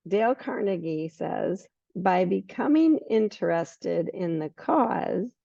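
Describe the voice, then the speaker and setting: Hoarse yoga teacher lady, low gravelly voice, dry muffled lo-fi sound. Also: dry recording. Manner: relaxed, unhurried instruction with fillers. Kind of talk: guiding a yoga class. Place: yoga studio. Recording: muffled lo-fi recording.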